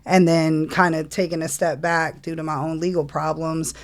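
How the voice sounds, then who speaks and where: A woman's voice: sits low, normal speed. A woman, a guest on a casual podcast, telling her story at an easy pace. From New Orleans, USA. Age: 40s. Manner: relaxed storytelling with laughs. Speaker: guest, music manager.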